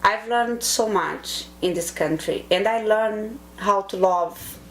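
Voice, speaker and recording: A middle-aged woman from Brazil with a Brazilian Portuguese accent, Portuguese being her first language, giving reflective, earnest interview answers and pausing to search for words. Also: medium pitch, a little hiss or hum, poor audio.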